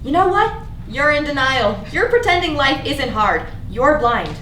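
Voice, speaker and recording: A lonely, guarded soul acted by a woman in a staged play reading, speaking in a fairly high voice with bitter, confessional intensity. The sound is echoey and picked up from a distance, with some faint noise behind it. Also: very animated, a little slow, fairly bright tone, heavily acted. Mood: accusing. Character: lonely, despairing, guarded young person named Sage, bitterly blunt. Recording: echoey room, distant mic, background noise.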